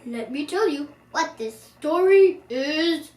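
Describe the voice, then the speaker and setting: A young girl reading a children's storybook aloud in an animated, expressive way, now and then stumbling over words. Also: high-pitched, slow. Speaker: storyteller, channel host. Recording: roomy, distant microphone, faint background noise.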